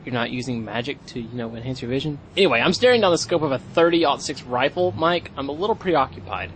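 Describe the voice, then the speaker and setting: A man's voice: mid-range voice, fast, fairly bright tone, a little hiss or hum, lightly acted. A man playing a dry, sardonic rifleman bantering casually in an audio comedy drama. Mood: dry, preoccupied. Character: wry, casual rifle sniper bickering with his brother.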